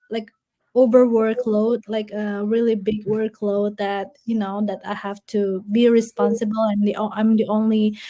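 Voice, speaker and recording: A woman's voice: a little high, a little mellow. A woman in her thirties from Indonesia, a guest telling her story in a casual interview, with many fillers and restarts and frequent laughter.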